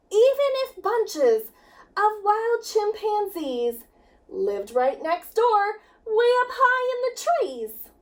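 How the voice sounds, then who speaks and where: A woman reading a picture book to children in an animated, emphatic, sing-song storytelling manner, drawing out words. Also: very high-pitched.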